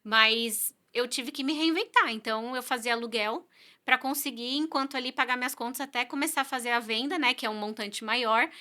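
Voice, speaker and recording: A woman's voice: a little high, brisk, bright, forceful tone. A Brazilian woman who works as a real estate agent, talking earnestly in a casual interview. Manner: earnest, conversational, frequent "né" and "sabe".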